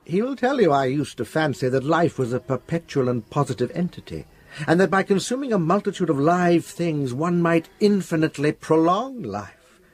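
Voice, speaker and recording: An actor playing an eerily courteous, learned asylum patient who speaks formally and pedantically in an audio drama. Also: male voice, fairly high pitch, very animated, normal speed. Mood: confessional, intense. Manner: formal, pedantic, precise diction. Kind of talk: British radio drama acting.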